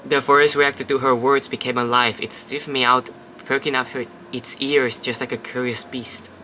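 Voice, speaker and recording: A man plays through a story game, reading each line aloud in a bright, forward voice. Between passages he drops into loose chat and the odd curse. A faint noise hums behind him. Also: medium pitch, little pitch movement, moderate pace, poor audio. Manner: reads narration, then chats and swears casually. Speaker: let's play host. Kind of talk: casual game playthrough, reading text aloud.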